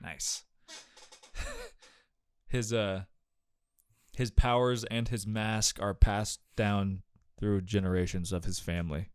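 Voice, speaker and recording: A man in his twenties with a somewhat deep voice, recorded close and dry. He talks casually as the co-host of an entertainment news show. His delivery is laid-back and offhand. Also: huge pitch swings, takes it slowly, pauses often, smooth, no echo.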